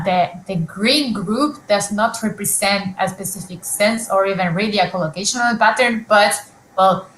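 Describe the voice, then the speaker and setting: A woman's voice: medium pitch, fairly bright tone, roomy sound, a little hiss or hum, rough recording. A woman presenting research at an academic conference, explaining examples step by step with frequent fillers and restarts over a video call.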